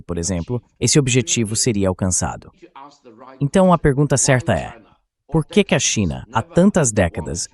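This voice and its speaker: A man with a Brazilian accent and a fairly low voice gives dubbed political commentary. He speaks as an interview guest, explaining his points in a didactic way and stressing them emphatically. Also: darkish tone.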